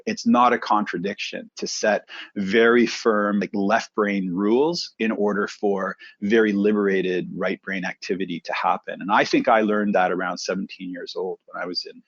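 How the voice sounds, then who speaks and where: A middle-aged man from Canada, a presenter coach telling his career story in a relaxed, thoughtful interview. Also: fairly low pitch, husky, no echo, highs cut off.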